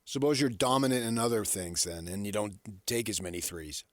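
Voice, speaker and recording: Guy co-hosting sports radio, talks really quick, dry close studio sound. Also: on the low side, expressive, no echo. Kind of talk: casual sports radio chat.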